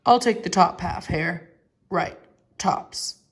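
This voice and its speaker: A woman's voice: lowish voice, slow, breathy edge. Woman as a grumbling, sleepy storybook bear. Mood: sleepy, undecided. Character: sleepy, grumpy, gullible big male bear. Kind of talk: children's storybook read-aloud.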